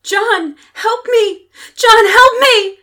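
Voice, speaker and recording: A desperate phantom woman in radio horror. She cries out in terror, extremely high and piercing, each word drawn out. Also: extremely slow, bright, forceful tone, full character voice. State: crying out in terror. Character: desperate wailing ghostly woman crying for help.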